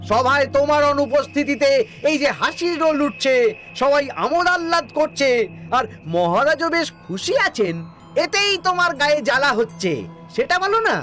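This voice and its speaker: A man voicing a snide, flattering royal minister in a comic cartoon, with a needling, obsequious manner. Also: very high voice, normal speed, faint music behind, full character voice. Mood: snide, accusing.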